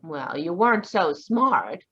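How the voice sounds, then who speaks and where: A woman's voice: on the low side, animated, takes it slowly, fairly bright tone, slightly rough, exaggerated voice. A woman voicing a skeptical young woman in an old-time radio play, tart and sarcastic. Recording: dull lo-fi video call audio.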